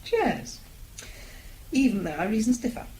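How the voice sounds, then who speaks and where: Radio drama: playful wife, arch and spirited, rather high animated voice, noisy recording. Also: female voice, measured pace. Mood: arch, teasing. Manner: arch, teasing.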